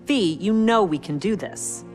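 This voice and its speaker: A woman's voice: medium pitch, expressive, darkish tone, long reverb tail, soft background music, lightly acted. Woman as a cocky young heist planner. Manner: cocky, persuasive, quick-witted. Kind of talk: video game cutscene acting.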